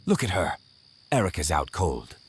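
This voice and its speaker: A man playing a gentle, withdrawn soldier in an audio comic, speaking in quiet, terse lines. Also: low voice, very animated, moderate pace, soft background music. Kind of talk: audio comic dramatic acting.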